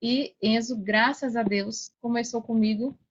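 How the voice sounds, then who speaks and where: A woman's voice: fairly high pitch, fairly even intonation, unhurried. A Brazilian woman in her forties telling her story conversationally as a podcast guest, chatty and often checking for agreement with short tag questions. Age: 40s. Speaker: podcast guest, affiliate marketer. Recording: dull lo-fi sound.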